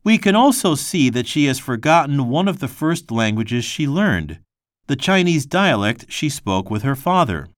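A man reading a textbook passage aloud in a measured, scripted way. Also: medium pitch, big pitch swings, no echo, clean studio sound. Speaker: textbook reader.

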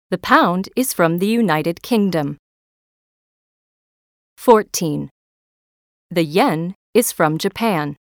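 A woman reading simple textbook sentences with an even, deliberate delivery. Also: lowish voice, no room sound, clean studio sound. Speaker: audio narrator.

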